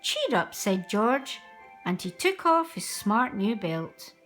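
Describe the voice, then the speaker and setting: A woman reading a children's picture book aloud in an expressive, sing-song manner. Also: medium pitch, slow pace, soft background music. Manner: animated, sing-song delivery.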